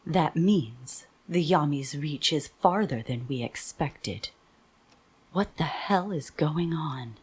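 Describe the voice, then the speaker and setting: A stern female commander in an anime audio drama, acted by a woman. Her voice sits low with a husky grain, and she speaks with authority. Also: expressive, takes it slowly, exaggerated voice. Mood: alarmed, intense.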